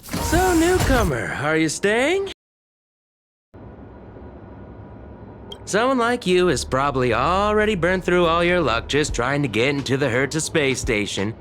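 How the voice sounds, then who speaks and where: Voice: mid-range voice, sing-song, normal speed, fairly bright tone, a little hiss or hum. An actor playing a condescending, teasing research colleague in a video game, chatty and scoffing with exaggerated delivery. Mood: scornful, teasing. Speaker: researcher character. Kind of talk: animated video game dialogue.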